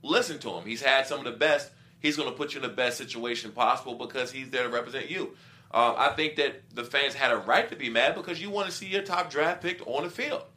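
A middle-aged man with a bright, forceful tone talks casually about football as a guest. He explains his views with examples and acts out quoted remarks, like a former player recalling his career. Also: medium pitch, quick pace. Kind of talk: casual sports talk.

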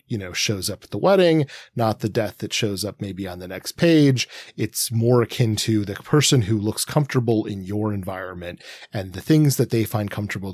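A man's voice: lowish voice, breathy edge, no echo. A middle-aged man co-hosting a podcast with casual, analytical commentary, wandering into asides as he builds his point. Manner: discursive, self-interrupting asides. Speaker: podcast co-host.

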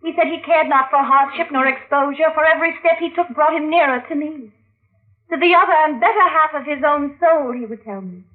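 A woman's voice: sits high, a little fast, lightly acted. An actress playing a melodramatic, lovelorn woman giving testimony in an old-time radio drama, with theatrical, coy delivery. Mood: tender, coy. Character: melodramatic, lovelorn woman defendant on the witness stand. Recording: muffled, narrow-band old radio recording.

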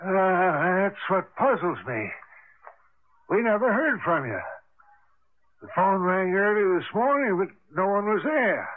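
A man's voice: high voice, big pitch swings, measured pace, pauses often. An actor playing a hearty, puzzled but welcoming older father in a vintage radio drama. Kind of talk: 1970s-80s radio drama acting. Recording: lo-fi vintage radio broadcast, faint noise.

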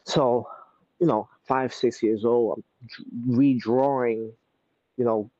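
NY-accented guy in his thirties, mellow dark tone, dull call audio, laid-back rambler. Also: mid-range voice, slow, dry recording. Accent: New York. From Brooklyn, New York. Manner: relaxed, rambling with many fillers. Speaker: guest artist. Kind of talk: casual interview chat. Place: home in an apartment, city buildings behind.

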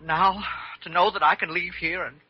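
Husky, anxious patient. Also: male voice, sits high, fairly even intonation. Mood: overwhelmed gratitude. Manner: halting, fervent, repeats words. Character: anxious, grateful male hypochondriac patient. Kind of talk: 1940s-50s radio drama acting. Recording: muffled vintage radio recording.

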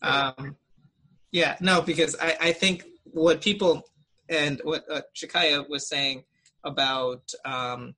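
A man's voice: fairly high pitch, fairly even intonation, slow, sharp tone, poor audio. A man on a video call in a class discussion, speaking thoughtfully with frequent fillers and restarts. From United States. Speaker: student in a linguistics discussion.

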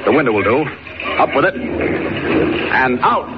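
An American man playing a stern, fearless superhero in 1940s radio style, commanding and deliberate as he narrates his own actions. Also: medium pitch, sing-song. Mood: urgent, resolute. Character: stern, fearless male superhero, talking resolutely to himself and threatening crooks. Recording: muffled, very noisy old radio recording.

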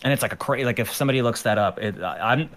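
A man's voice: mid-range voice, very fast, a bit bright. A man from Ukraine, a guest on a podcast, chatting casually about his art career with lots of fillers and restarts. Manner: rambling, with many fillers and restarts. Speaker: guest, animator and musician. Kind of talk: casual interview chat.

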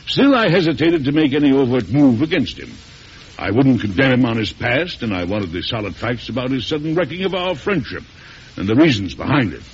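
A proud, stubborn older statesman played by a man in a vintage radio drama, with a folksy, emphatic delivery and a medium-pitched voice. The recording is dull and hissy. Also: sing-song, brisk, lightly acted. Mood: reflective. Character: proud, stubborn older American president, wry and commanding. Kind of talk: 1940s radio drama acting, narrating and playing.

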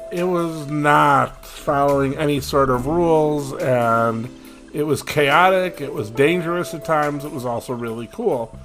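A male podcast host speaks slowly and haltingly, stopping and restarting as he searches for words. His manner is earnest and unscripted, and guitar music plays clearly behind him. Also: mid-range voice. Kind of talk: casual spoken podcast commentary.

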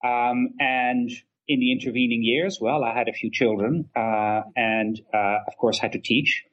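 A middle-aged man, an academic guest in an interview, talking conversationally in wordy, halting and measured phrases. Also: medium pitch, steady tone, dull audio. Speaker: history professor and author, interview guest.

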